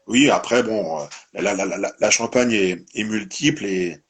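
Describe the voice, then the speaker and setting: A man's voice: lowish voice, moderate pace, breathy edge. A man hosting a live-streamed interview, talking in a meandering way and tagging his sentences with "hein". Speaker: host of a live winery presentation.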